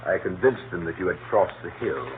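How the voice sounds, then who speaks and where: An actor playing a suave older gentleman villain, courteous, deliberate and quietly threatening, in a 1930s radio drama. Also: low voice, lively intonation. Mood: smooth, commanding. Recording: noisy, phone-like 1930s radio recording.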